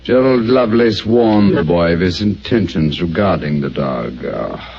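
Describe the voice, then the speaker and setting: An actor playing a calm, methodical master detective who talks in a measured, explanatory way, on an old radio drama. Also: male voice, low-pitched, faint music behind. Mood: somber. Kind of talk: 1940s radio acting. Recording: dull old radio broadcast with faint hiss.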